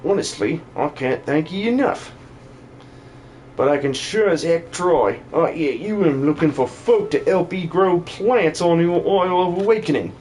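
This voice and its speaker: A man voicing an excitable, gushing worm creature with folksy rustic phrasing in a video game dub. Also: fairly high pitch, unhurried, slight background noise. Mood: grateful, eager.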